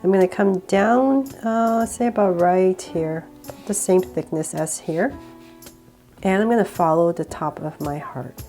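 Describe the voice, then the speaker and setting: A woman with a cold guiding viewers step by step through a drawing, relaxed and laughing a little at her own slips. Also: lowish voice, measured pace, soft background music. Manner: relaxed, with small laughs and self-corrections. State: sick, voice a bit off. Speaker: drawing tutorial host.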